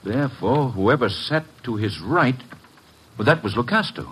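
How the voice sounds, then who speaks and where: An actor playing a suave, coolly menacing man with measured manners in an old-time American radio detective drama. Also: mid-range voice, expressive, fairly bright tone, husky.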